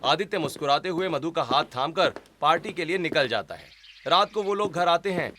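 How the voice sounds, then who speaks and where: A man reading scripted story narration in an expressive, deliberate, dramatic way. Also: fairly high pitch, slight background noise.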